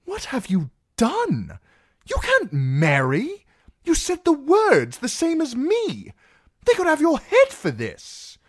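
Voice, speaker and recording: A man's voice: very high-pitched, wildly swooping pitch, slow pace, no echo, studio quality, pitched above the performer's own voice, full character voice. A man voicing an anxious, timid young man in an audiobook, earnest and flustered as he pleads. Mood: shocked. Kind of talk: audiobook character voice.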